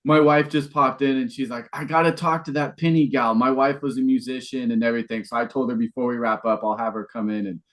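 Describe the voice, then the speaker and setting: A man's voice: mid-range voice, level tone, fast, few pauses, fairly bright tone. A man hosting a podcast, interviewing guests in a casual, conversational way. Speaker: podcast host.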